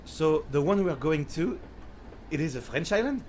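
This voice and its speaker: An eager male newcomer in an audiobook, put on by a male narrator. He speaks in a raised, very animated voice, full of keen curiosity. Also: a little high, moderate pace, slight background noise, pitched above the performer's own voice, lightly acted. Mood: eager, curious.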